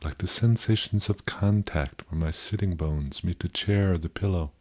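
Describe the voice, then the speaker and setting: Low, mellow male meditation guide. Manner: gentle, contemplative, pausing, self-questioning.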